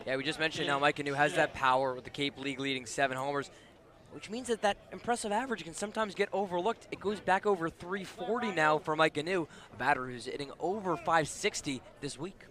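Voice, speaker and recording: A man's voice: on the high side, fast talker, crowd noise. A man giving live baseball radio analysis, conversational, in long flowing sentences full of detail. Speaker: baseball color commentator.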